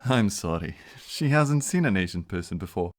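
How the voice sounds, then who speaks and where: A man's voice: deep voice, animated, moderate pace, soft background music. Man acting an easygoing, hungover doctor. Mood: lazy, amused. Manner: laid-back, teasing. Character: easygoing, hungover, flirtatious male Victorian doctor. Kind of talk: audio drama acting.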